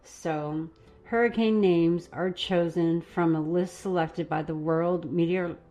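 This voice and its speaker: Casual woman host, voice going hoarse. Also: on the low side, fairly even intonation, takes it slowly, warm tone. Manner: casual, rambling, laughs at herself. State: losing her voice. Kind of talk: live stream chat.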